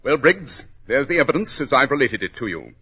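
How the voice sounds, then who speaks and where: An actor playing a shrewd, confident gentleman detective, precise and formal, in a 1940s radio drama. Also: mid-range voice, very animated, brisk, husky, heavily acted. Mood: stern, accusing. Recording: dull old radio recording.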